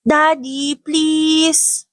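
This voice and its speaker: A woman's voice: sits high, flat delivery, drawn-out words, dry recording, studio quality, voice pushed up. A woman voicing sweet, eager five-year-old children in an audio drama, cheerful and pleading.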